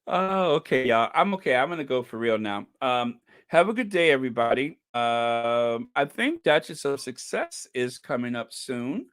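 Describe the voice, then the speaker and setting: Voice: medium pitch, big pitch swings, unhurried, fairly bright tone. A live-stream host signing off in casual, rambling chat, joking and chuckling and calling chat viewers by name.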